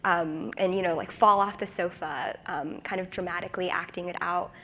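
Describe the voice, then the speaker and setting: A woman on a writers' panel, eager and quick to laugh, with lots of fillers. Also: on the low side, steady tone, fairly bright tone. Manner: enthusiastic, laughs often, many fillers. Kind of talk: panel discussion. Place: conference stage.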